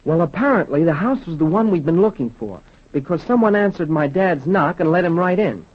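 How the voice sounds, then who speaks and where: An actor playing an eager, polite schoolboy son in a 1930s radio drama, with an earnest boyish manner. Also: male voice, fairly high pitch, lively intonation, fairly quick. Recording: dull, worn 1930s radio recording.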